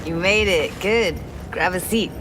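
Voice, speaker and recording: A low-pitched young woman voiced by an actress, a blunt and weary tech rebel from a cinematic game. She talks in clipped, matter-of-fact phrases over clearly audible ambient noise. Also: measured pace, lightly acted. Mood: weary, curt. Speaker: tech character in a video game.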